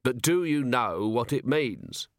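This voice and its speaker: A man's voice: medium pitch, big pitch swings, slow pace, no room sound. A man voicing a weary, impatient police commander in an audiobook, with a dry, exasperated manner.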